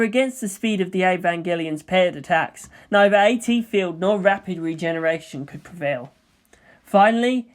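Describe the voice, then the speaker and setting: A woman reading a story aloud as an audiobook narrator, in an expressive scripted style. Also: fairly low pitch. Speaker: audiobook narrator of fan fiction.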